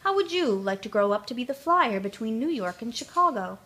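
A woman's voice: on the high side, expressive, a little fast, fairly bright tone. A woman voicing an old male train engineer who teaches young locomotives, speaking in an instructive, emphatic way in a storybook reading. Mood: firm.

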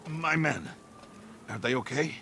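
A man's voice: a little high, lively intonation, measured pace, creaky, sharp tone, slight background noise, exaggerated voice. An actor playing a guilt-ridden fire chief in a video game, loyal to his crew, earnest and pleading. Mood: anxious.

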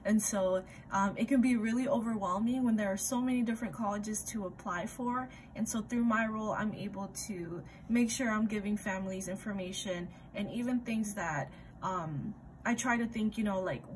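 A woman's voice: mid-range voice, poor audio. A young woman from Ecuador in her twenties, an admissions recruitment coordinator, giving a friendly, explanatory self-introduction on a webcam. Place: home room with ivy wall. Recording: video call with audible background noise.

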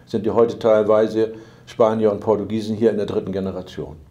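A middle-aged man, a company manager, calmly explaining history in an office interview. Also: fairly low pitch, dull audio. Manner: measured, matter-of-fact, occasional fillers. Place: office with file binders.

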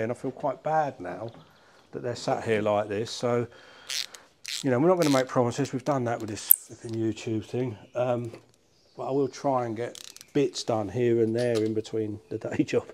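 A man's voice: medium pitch, a little mellow, slight background noise. Middle-aged British man chatting casually. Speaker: car collector and restorer presenting a channel. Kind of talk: casual chat while working on a car. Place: large workshop barn, indoors.

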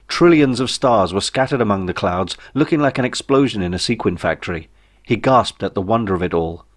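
A man's voice: on the low side, animated, brisk. A British man reading a comic novel as audiobook narration in a wry, droll way. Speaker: author reading own novel.

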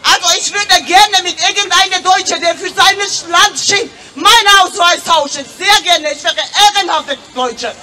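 A woman in her thirties with a Georgian accent, giving an impromptu, emotional rally speech in a nervous, agitated, halting way. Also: very high voice, pushed and bright, distant mic, faint music behind. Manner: impassioned, agitated, halting delivery. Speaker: audience member speaking at a rally. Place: open city square at a street rally. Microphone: handheld microphone, filmed by a camera nearby. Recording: outdoor public-address microphone from afar, noisy crowd.

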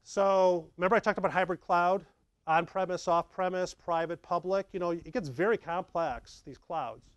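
Middle-aged man, slightly high voice, chatty presenter, dry sound. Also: moderate pace, dry recording. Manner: lively, conversational, acts out examples. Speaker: tech presenter. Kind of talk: meetup slide presentation. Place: dark meeting room with projector.

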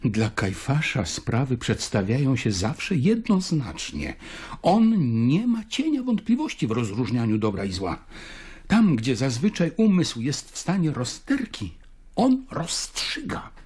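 Zachrypnięty, uduchowiony judejski dostojnik. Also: male voice, on the high side, darkish tone, slightly rough, full character voice. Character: troubled, devout Judean councillor, solemn and mystical. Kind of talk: literary audio drama acting.

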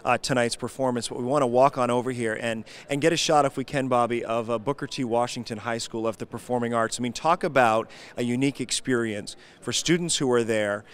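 A male TV host strolls through a large glass-walled lobby, talking into a handheld microphone. His pitch sits in the middle range for a man. He keeps the tour moving and prompts his guests with questions. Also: a little fast, few pauses, clean tone. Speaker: TV host leading a venue tour. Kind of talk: on-location walking tour interview. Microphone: handheld mic.

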